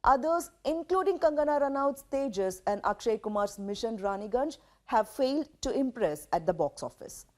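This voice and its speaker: A woman with an Indian accent presents a scripted news explainer. Her voice sits fairly high and swings widely in pitch. She delivers each point in a measured way, leaning on key words for emphasis. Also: expressive, darkish tone.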